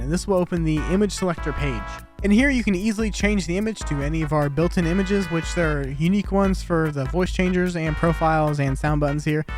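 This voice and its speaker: A young man giving an informal, chatty, matter-of-fact video walkthrough as a software developer. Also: fairly high pitch. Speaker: software developer presenting own app update. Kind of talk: casual scripted video walkthrough. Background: background music.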